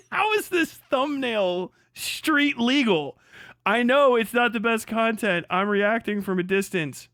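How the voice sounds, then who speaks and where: A man's voice: extremely high pitch, lively intonation, takes it slowly, a bit bright, dry recording, studio quality. A young man hosting a casual live stream, reacting with sardonic, profane, incredulous commentary and frequent laughter. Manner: sardonic, swearing, laughing often, incredulous outbursts. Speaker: streamer host.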